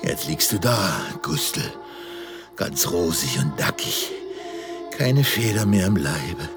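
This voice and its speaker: A man's voice: deep voice, animated, takes it slowly, music in the background. An actor playing a blustering, sentimental middle-aged father and opera singer in a theatrical German radio play, muttering and groaning to himself. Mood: wistful, self-pitying.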